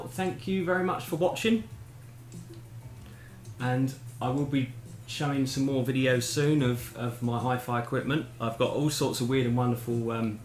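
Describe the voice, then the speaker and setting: A middle-aged man giving a casual, relaxed demonstration to camera with understated enthusiasm. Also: mid-range voice, slight background noise. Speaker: presenter of his own equipment demo. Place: small indoor home room. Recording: echoey room, music playing behind. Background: music from the tape machine.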